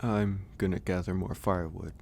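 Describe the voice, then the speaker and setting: A man playing a gentle, caring werewolf in an intimate ASMR roleplay, speaking softly and hesitantly with pauses. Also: low-pitched, flat delivery, gravelly, slight background noise. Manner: soft, hesitant, pausing. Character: gentle, caring young male werewolf, shy and teasing. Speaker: audio roleplay voice actor. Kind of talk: ASMR roleplay, intimate one-sided dialogue.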